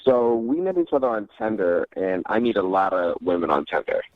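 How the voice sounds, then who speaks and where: A man's voice: fairly low pitch, expressive, fairly quick, no echo. A man calling in, chatting casually with a cocky, unbothered manner over a slightly dull phone line. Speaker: caller. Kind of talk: casual chat.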